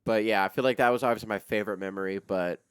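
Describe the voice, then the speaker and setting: A man's voice: fairly low pitch, steady tone, racing, clear, resonant tone, no echo, studio quality. A young man in his twenties, a podcast co-host with a stuffed-up nose, chatting casually, laughing often and swearing freely. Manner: laughs often, loose and profane. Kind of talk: casual chat.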